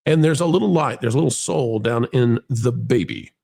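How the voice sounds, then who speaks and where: A man's voice: mid-range voice, normal speed, gravelly, a little mellow. A man hosting an opinionated talk show, speaking emphatically and repeating himself for effect. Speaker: host of an entertainment news talk show. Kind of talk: opinionated commentary to co-hosts and audience.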